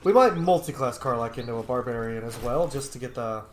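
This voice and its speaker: A man's voice: mid-range voice, expressive, brisk, noisy background. Man gaming in a roomy, echoing space. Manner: casual, profane. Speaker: streamer playing a roleplaying video game. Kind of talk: casual let's play commentary.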